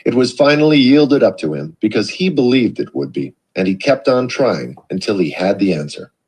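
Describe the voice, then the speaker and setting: Reader: adult man, husky tone, lively pitch, steady read-along narration. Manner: measured, expressive reading. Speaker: audiobook reader.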